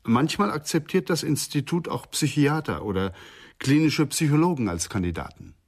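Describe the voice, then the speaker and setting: A man's voice: on the high side, animated, normal speed, breathy edge. An actor playing an earnest psychoanalyst in a German radio crime drama, speaking formally and precisely, with reverence for his profession. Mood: earnest, reverent.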